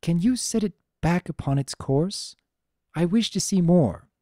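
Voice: mid-range voice, lively intonation, a little slow, dark tone, dry recording, studio quality, voice pushed up, close to an everyday voice. A narrator voicing a formal, earnest female pony princess in a dramatic story reading, with archaic, stately diction. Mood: warm, wistful.

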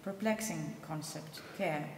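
A middle-aged woman introducing a speaker from a lectern at a conference, halting with frequent ums. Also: deep voice, a little hiss or hum. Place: large hall at a lectern. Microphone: handheld microphone. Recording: echoey hall, long reverb.

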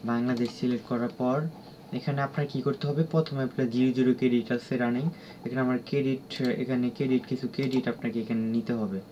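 A man with a Bangladeshi accent giving an unscripted app tutorial in a halting, repetitive, instructional way. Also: medium pitch, level tone, mellow. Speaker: tutorial presenter. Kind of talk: unscripted screen-recorded app walkthrough. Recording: dull lo-fi audio with clear background noise.

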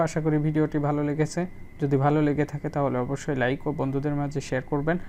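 Man, very even tone, tutorial voice-over. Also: on the high side, a little hiss or hum. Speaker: tech tutorial host. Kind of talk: spoken phone tutorial voice-over.

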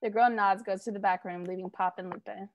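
A woman's voice: medium pitch, steady tone, fairly quick, dull audio. A woman in her thirties reads scripted stage directions aloud at a table read, on an earbud headset over a video call from home. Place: living room at home.

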